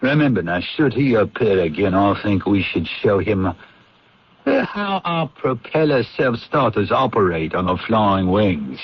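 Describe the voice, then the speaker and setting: An actor playing a sly, menacing villain in a radio drama, making veiled threats in a measured, insinuating way. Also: male voice, lowish voice, big pitch swings, breathy edge, heavily acted. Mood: slyly menacing. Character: smooth, menacing male villain, flying-wing maker hinting at murder. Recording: dull, noisy old radio broadcast.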